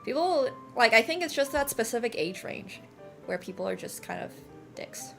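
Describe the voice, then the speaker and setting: A woman's voice: fairly high pitch, big pitch swings, moderate pace, vocal fry, faint music behind. A young woman in her twenties whose first language is Finnish, chatting casually with her stream, laughing often and saying "like" and "bro" a lot. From Finland. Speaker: livestreamer.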